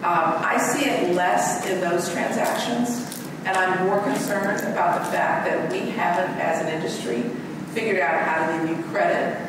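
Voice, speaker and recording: A woman's voice: fairly low pitch, fairly even intonation, normal speed, hardly any pauses. Middle-aged woman executive, direct and earnest; distant mic, echoey event hall. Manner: direct, earnest, conversational advice-giving. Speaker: bank CEO as guest speaker. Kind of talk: fireside chat talk and Q&A answers. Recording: echoey hall from far off, background noise.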